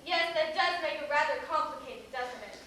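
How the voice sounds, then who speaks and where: A woman's voice: high voice, normal speed, bright, forceful tone. A woman playing a coy, breezily muddled young woman in an amateur stage comedy, prim and innocently matter-of-fact. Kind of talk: amateur one-act comedy stage acting. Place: theater stage, recorded from far off. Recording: distant, echoey, noisy stage video.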